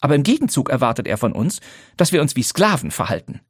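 A man reading a wife's lines, voicing a slender, energetic woman who speaks dryly and defiantly. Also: medium pitch, fast, slightly rough, no room sound, pitched above the performer's own voice. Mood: bitter. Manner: dry, biting, defiant. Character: energetic slender sharp-tongued wife.

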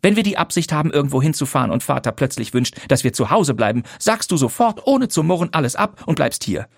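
A man voicing a sharp-tongued, restless wife. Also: on the high side, big pitch swings, fast, gravelly, no room sound, pitched above the performer's own voice, exaggerated voice. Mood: bitter. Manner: dry, biting, defiant.

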